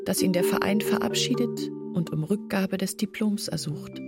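An actress playing an embittered young village woman in a radio drama, recounting her family's ruin in measured, earnest storytelling. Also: medium pitch, over loud music, natural voice. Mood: grave, resigned. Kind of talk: literary radio drama monologue.

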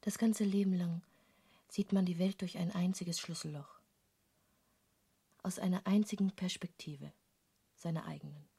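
An actress in a literary radio play playing an intense, searching woman painter, speaking intimately and deliberately in a breathy, creaky half-whisper. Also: on the low side, fairly even intonation, warm tone, full character voice. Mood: yearning, intense. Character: intense female painter hungry for forbidden knowledge, musing.

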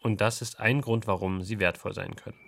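A man's voice: on the low side, fairly even intonation, a little fast, creaky, noisy background. A man reporting for a radio culture magazine in scripted narration, reflective and posing rhetorical questions.

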